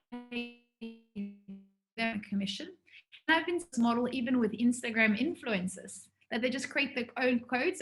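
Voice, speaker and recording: A woman's voice: fairly high pitch, fairly bright tone. A woman giving an informal business workshop to students, talking in a conversational, enthusiastic way.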